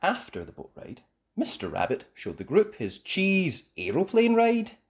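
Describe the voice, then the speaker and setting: A woman reading a children's story aloud as an expressive narrator. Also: lowish voice, a little slow.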